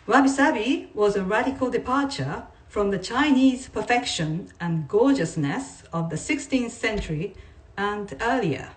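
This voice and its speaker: A woman reading non-fiction aloud in a measured, deliberate way, like a writer presenting a text. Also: mid-range voice. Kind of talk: read-aloud of non-fiction with brief informal asides. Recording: voice distant from the mic.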